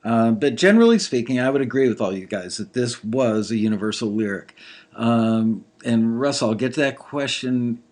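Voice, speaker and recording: A man with a slightly gravelly voice giving casual live commentary on listeners' songs, thinking aloud with lots of fillers. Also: medium pitch, animated, moderate pace, darkish tone. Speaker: music industry reviewer critiquing listener songs.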